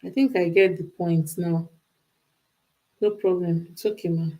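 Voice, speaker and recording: A woman's voice: fairly low pitch, steady tone, a little slow, dark tone, rough recording. A woman with a Nigerian accent talking off the cuff on a livestream, emphatic and repeating phrases for stress. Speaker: livestream host giving relationship advice. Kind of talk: unscripted livestream monologue.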